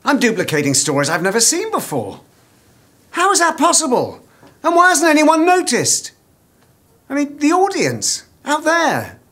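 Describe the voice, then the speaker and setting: A man's voice: very high-pitched, huge pitch swings, moderate pace, bright, forceful tone, full character voice. A man acting a baffled, exasperated, sweary middle-aged office worker in a naturalistic comedy drama. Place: small office.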